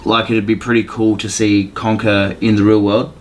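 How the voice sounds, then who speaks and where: A man hosting a podcast in a casual chat, often pausing to think aloud. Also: fairly low pitch, level tone. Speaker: podcast host. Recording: faint room noise behind voice.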